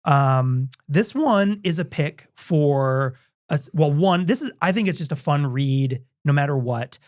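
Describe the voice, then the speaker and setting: A middle-aged man co-hosting a podcast, chatting eagerly with quick restarts and frequent enthusiastic agreement. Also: a little high, animated, a little mellow, no room sound, clean studio sound. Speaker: podcast co-host. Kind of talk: casual chat.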